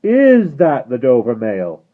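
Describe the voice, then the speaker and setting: A man voicing a hoarse rider who calls out slowly from a distance, on a tinny phone-like recording. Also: medium pitch, expressive, pitched above the performer's own voice, lightly acted. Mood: calling out. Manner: slow, drawn-out calling. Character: hoarse mounted messenger man, shouting from afar.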